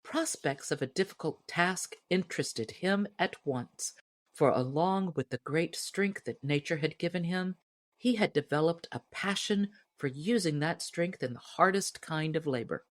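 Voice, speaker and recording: A woman narrating a storybook as an audiobook reader, in expressive scripted narration. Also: sits low, a little slow, no echo, studio quality.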